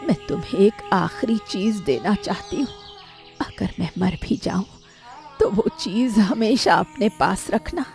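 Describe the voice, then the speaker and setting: Woman acting a slow, weary, ailing old mother. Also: mid-range voice, expressive, a little mellow. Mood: weary, resigned. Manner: weary, solemn, cautioning. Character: frail, ailing elderly mother, gentle but firm. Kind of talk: dramatic animated story acting. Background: music.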